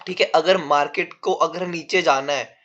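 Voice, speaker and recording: A man's voice: a little high, steady tone, moderate pace, fairly bright tone. Man with a sore throat teaching. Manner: explains step by step, calls viewers "bhai". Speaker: trading teacher. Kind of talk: casual chart-drawing lesson. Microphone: no proper mic yet. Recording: dull lo-fi recording without a proper mic.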